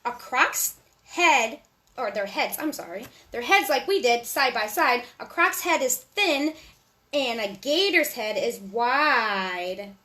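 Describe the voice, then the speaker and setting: A woman with a high voice reads a rhyming children's book aloud. Her delivery is animated and sing-song, pitched directly at little listeners as a storyteller would. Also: slow.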